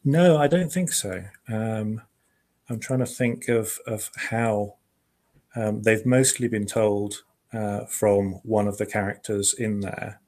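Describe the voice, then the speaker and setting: A man's voice: lowish voice, slow pace, a little mellow. A man speaking as an interviewed writer, chatting casually and thoughtfully, a little hesitant, with self-deprecating humour.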